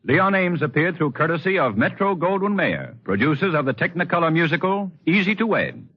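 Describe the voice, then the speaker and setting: A man's voice: on the high side, sing-song, brisk. An American man announcing scripted sponsor spots in a polished, emphatic 1940s radio style. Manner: polished, emphatic sales delivery. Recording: dull, slightly husky 1940s radio recording.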